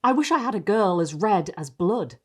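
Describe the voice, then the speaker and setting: A woman reads as an aristocratic Gothic count, wistful and full of desire. The storybook delivery is solemn and theatrical, with wide swings in pitch. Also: animated, moderate pace, a little mellow, natural voice. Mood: longing. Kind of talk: storybook character reading.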